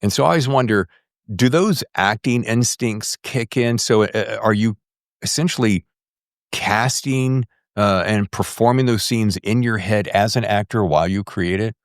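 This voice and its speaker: A man's voice: lowish voice, moderate pace, no echo, clean studio sound. A man interviewing a guest as a podcast host, chatting conversationally and acting out quoted remarks as he goes. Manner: animated, acts out quoted remarks.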